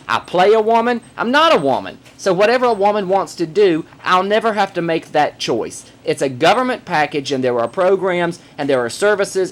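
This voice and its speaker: A man who performs in drag, hosting his own vlog and giving emphatic, opinionated commentary straight to the camera, hammering points home with repetition. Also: a little high. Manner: emphatic, forceful, repeats points for effect.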